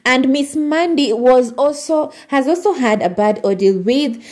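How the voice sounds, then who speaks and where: A woman's voice: high voice, measured pace, darkish tone. A woman narrating celebrity gossip as a channel host, reading posts aloud with frequent fillers and restarts. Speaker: entertainment news narrator.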